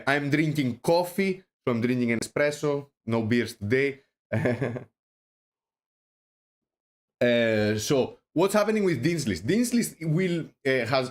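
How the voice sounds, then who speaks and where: A man with a Greek accent hosting a casual crypto livestream, rambling with many fillers and restarts, joking now and then. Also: mid-range voice. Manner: joking, self-deprecating. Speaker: host.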